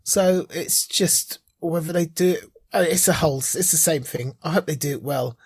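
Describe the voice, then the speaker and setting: A man's voice: a little high, normal speed, slightly rough, dry recording. A man co-hosting a podcast in casual chat, giving opinions informally and repeating phrases for emphasis. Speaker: podcast co-host.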